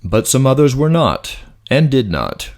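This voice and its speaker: A man's voice: medium pitch, huge pitch swings, measured pace, vocal fry. A man narrating a fantasy audiobook from a script in a dramatic storytelling style.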